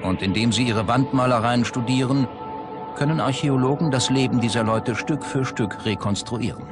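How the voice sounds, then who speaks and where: Guy doing documentary voiceover, medium pitch, factual and measured, music playing under it. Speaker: documentary narrator. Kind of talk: scripted documentary narration. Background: background music.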